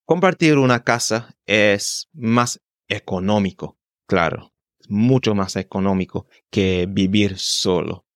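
Slow, careful young man learning Spanish. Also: fairly low pitch, no echo, studio quality. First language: English. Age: 20s. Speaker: podcast host, Spanish learner. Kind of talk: unscripted solo podcast monologue. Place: home in London.

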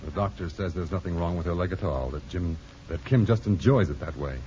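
An actor as a mild, cautious husband in a 1950s American radio drama. His voice is lowish and relaxed, reasoning in a measured way. The vintage broadcast sounds dull, with faint noise. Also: lightly acted. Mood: mild, careful. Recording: muffled, noisy old radio broadcast.

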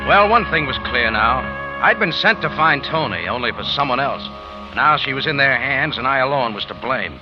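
A man's voice: medium pitch, expressive, normal speed, close to an everyday voice. An actor playing a tough, wry detective who tells his own story in clipped slang, in 1940s radio drama style. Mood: determined. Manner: hard-boiled, clipped, slangy. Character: tough, wry American detective hero telling his adventure. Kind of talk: 1940s radio drama acting and first-person narration. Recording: muffled old radio recording, music behind.

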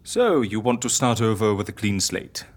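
A curious agency interviewer, extremely low, husky, incredulous, fussy, audio drama comedy. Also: female voice, animated, normal speed, heavily acted. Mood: probing.

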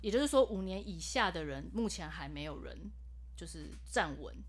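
A woman voice actor, explaining her work in a casual podcast chat. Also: on the low side. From Taiwan. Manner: fast, explanatory and animated.

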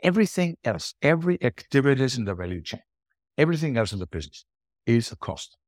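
A man's voice: mid-range voice, big pitch swings, fast talker, dry recording, studio quality. A middle-aged or older man with an Austrian accent and German as his native language, giving emphatic, conversational answers as an interview guest. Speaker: podcast guest, booking strategist.

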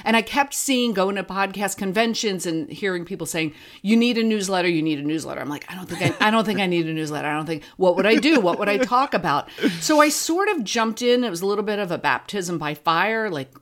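A woman with a medium-pitched voice talks casually as an interview guest. Her intonation is very lively, and she tells stories in an animated way, voicing her own past thoughts. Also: a little fast, few pauses. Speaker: podcast creator being interviewed.

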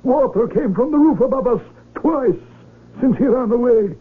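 A man acting in a 1970s radio drama as a gentle, solemn village elder and father, speaking in a measured, kindly, grave way. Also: very high voice, husky. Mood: concerned. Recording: muffled vintage AM radio recording.

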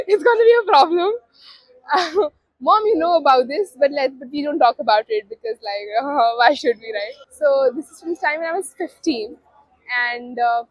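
A woman's voice: high-pitched, no room sound, low-quality recording. A woman with an Indian accent casually telling a personal story in a giggly, conversational way with rhetorical questions. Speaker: guest storyteller.

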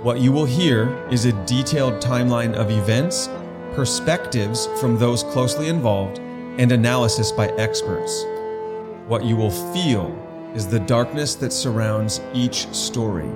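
A man's voice: mid-range voice, over loud music. Man hosting a podcast. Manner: dramatic, measured delivery in the intro. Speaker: podcast co-host. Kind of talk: scripted intro then casual banter. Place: restaurant dinner party.

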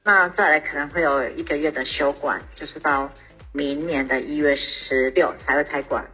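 A woman's voice: deep voice, fairly even intonation, normal speed, bright, forceful tone, slightly rough, faint music behind. A woman with a Taiwanese Mandarin accent giving an informational exhibition announcement as a museum staff member.

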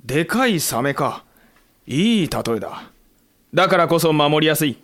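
Battle-hardened samurai leader, terse, wry, commanding, raised pitch, audio drama. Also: male voice, sing-song, normal speed, fairly bright tone. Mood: calm, wry. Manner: terse, commanding, gruff phrasing. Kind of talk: Japanese period audio drama acting.

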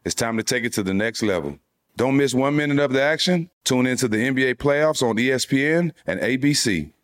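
A man's voice: medium pitch, animated, brisk. A man reading a scripted sports promo in a confident, hyped way. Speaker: promo announcer.

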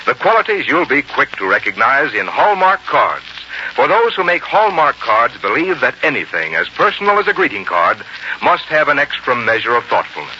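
Man, 1940s commercial announcer, polished persuasive delivery, lively intonation, piercingly bright tone, dull lo-fi recording. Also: mid-range voice. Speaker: radio announcer. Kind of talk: 1940s radio advertising announcer, scripted. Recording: dull old radio broadcast, faint noise.

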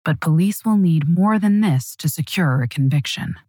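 A woman narrating a true crime story in a measured, dramatic scripted style. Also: low-pitched, expressive, warm tone, dry recording.

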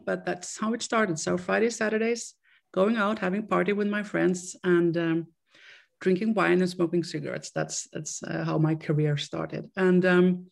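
Halting Swedish-accented woman in her fifties. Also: on the low side, creaky. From Sweden. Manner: halting, repeats words, laughs often. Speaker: guest. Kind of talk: casual interview.

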